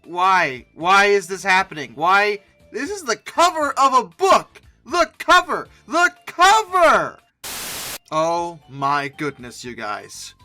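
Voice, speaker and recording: A comedy host gives off-the-cuff commentary with a bright, sharp voice and very animated pitch. The delivery is theatrical and sarcastic, taking its time between exclamations. Music plays underneath. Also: takes it slowly. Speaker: host of a comedy reaction video. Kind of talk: off-the-cuff comic commentary on images.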